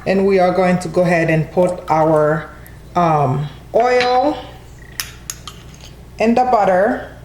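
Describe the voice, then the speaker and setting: A woman's voice: fairly low pitch, slow, pauses often, noisy background. Casual woman host, friendly tutorial voice-over, a bit of room echo. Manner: friendly, chatty, addresses viewers as guys. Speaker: cooking channel host. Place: home kitchen.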